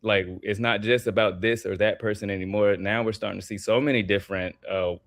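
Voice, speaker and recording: A man with a very low voice speaks as a guest in a casual interview. He sounds easygoing and sincere, with loose, rambling phrasing. The recording is dry, with no room sound. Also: fairly quick, dry recording. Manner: relaxed, earnest, loose phrasing with "like" and "um". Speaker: guest, artist and former wrestler.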